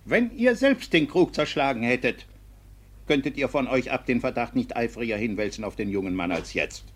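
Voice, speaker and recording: An actor playing a stern, educated court inspector in a classic radio play, formal and reproving. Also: male voice, mid-range voice, lively intonation, normal speed, a little hiss or hum, close to an everyday voice. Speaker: actor as visiting official.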